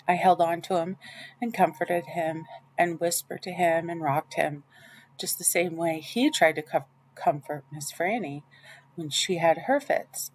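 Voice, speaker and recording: A woman reading a children's novel aloud in the first person, with expressive storytelling. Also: lowish voice, a little hiss or hum. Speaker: audiobook reader.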